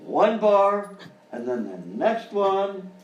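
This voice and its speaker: Man playing a high-pitched, chirpy kids' show uncle. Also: very animated, slow pace, muffled recording, full character voice. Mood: soothing. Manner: reassuring, singsong talk to children. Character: avuncular, flustered male kids' show host, relentlessly cheerful. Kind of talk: live radio sketch comedy acting. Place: live performance in hotel venue. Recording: roomy live stage, distant mic, faint noise.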